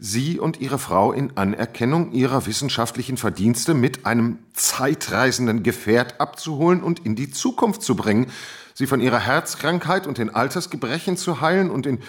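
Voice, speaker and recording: An actor playing a polite but persistent institute boss in a radio play, formal and slightly hesitant. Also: male voice, mid-range voice, animated, moderate pace, husky. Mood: officious.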